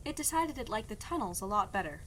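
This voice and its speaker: A woman's voice: mid-range voice, sing-song, quick pace, fairly bright tone, a little hiss or hum. A woman playing a guarded, exasperated young princess in an audio drama, with a blunt, dry, doubtful way of talking. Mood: resigned, dry. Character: skeptical, exasperated young princess fleeing arranged marriage, guarded. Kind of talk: scripted audio drama acting.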